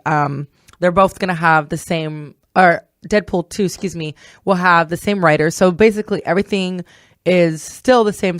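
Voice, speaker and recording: A woman hosting a podcast in a casual, halting, self-interrupting way. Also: sits low, no room sound, studio quality. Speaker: podcast host. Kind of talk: casual entertainment discussion.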